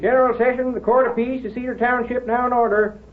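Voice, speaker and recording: An officious small-town court crier in a 1930s radio play. He proclaims in an extremely high voice. The sound is narrow and tinny, like an old broadcast. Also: little pitch movement, fast.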